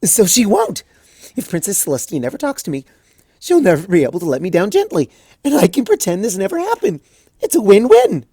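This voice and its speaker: A woman voicing a frantic, lovesick young unicorn mare who wails and sobs in a high voice. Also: big pitch swings, brisk, dark tone, slightly rough, no echo, studio quality. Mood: manic. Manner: high, wailing and sobbing. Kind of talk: fanfic reading.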